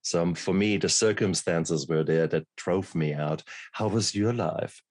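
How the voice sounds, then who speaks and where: Casting note: deep, low-voiced man from Germany, interview host, halting delivery, dry close sound. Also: darkish tone, no room sound. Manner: hesitant, repeats words. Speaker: podcast host, doctor. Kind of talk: casual interview chat.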